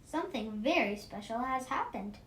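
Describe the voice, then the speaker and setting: A woman's voice: animated, normal speed, a bit bright. A woman voicing a friendly, gracious neighbor in a storybook read-aloud. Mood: gentle. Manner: welcoming, gracious. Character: friendly, grateful woman neighbor who owns a cat. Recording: roomy echo, faint rumbling noise.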